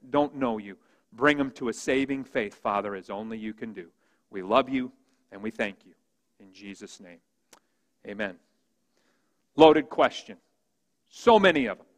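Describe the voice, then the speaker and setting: A man preaching a sermon as pastor, with a big voice that swings from loud emphasis to quiet, hushed reading. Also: fairly high pitch, in a large hall. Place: church sanctuary.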